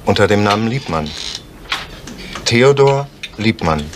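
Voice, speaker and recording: An actor playing a businesslike bank official in a vintage crime drama, giving precise, matter-of-fact answers. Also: male voice, on the low side, expressive, measured pace. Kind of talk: 1970s German television crime drama acting. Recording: noisy vintage drama soundtrack.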